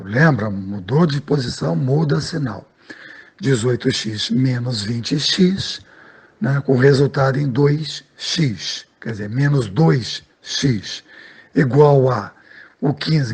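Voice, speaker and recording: A man's voice: medium pitch, a little mellow, husky. A man, a math teacher patiently explaining a lesson step by step and often checking in with his listeners.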